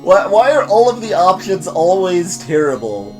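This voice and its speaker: A man gives let's play commentary in a highish voice that swings widely in pitch. He jokes and digresses as he reads game dialogue, with faint music playing behind him. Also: moderate pace. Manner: animated, joking, digressive. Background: soft game music.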